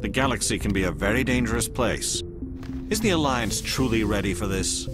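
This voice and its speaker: An actor playing a calm, watchful alien elite agent in a video game cutscene, speaking in a measured, formal, probing way. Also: male voice, on the low side, loud music behind the voice, lightly acted.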